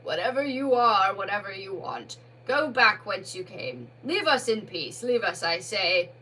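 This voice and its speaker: A woman voicing a big, grumpy old male badger who booms slow, solemn commands in old-fashioned speech. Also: fairly bright tone, low-quality recording. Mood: thundering.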